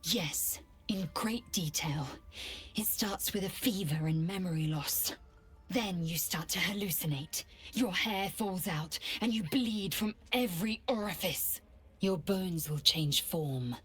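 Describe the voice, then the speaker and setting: Low, very husky alien warrior woman. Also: slow pace, fairly bright tone, soft background music, full character voice. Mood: grim, stern. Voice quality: husky, raspy. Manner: clipped, disdainful, commanding. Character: stern, haughty alien githyanki warrior woman. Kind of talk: fantasy video game acting.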